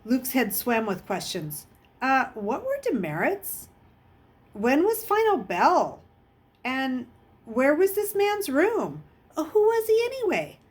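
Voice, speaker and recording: A woman reading a children's novel aloud as an expressive storyteller, with a husky voice. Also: fairly high pitch. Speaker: audiobook reader.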